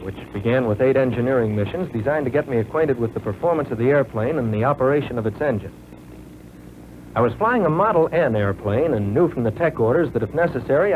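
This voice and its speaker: An actor playing an earnest young American military pilot, recounting his flight training in measured, folksy 1940s training film narration. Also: medium pitch, natural voice. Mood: plain, assured. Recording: tinny, noisy old film soundtrack.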